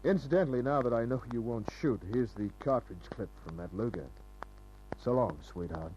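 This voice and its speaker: A man's voice: medium pitch, normal speed, loud music behind the voice, natural voice. A man playing a cynical, laconic private detective in a 1950s-style radio crime drama. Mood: cool, wry. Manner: laconic, deadpan, wisecracking. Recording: dull, hissy old radio recording with hum.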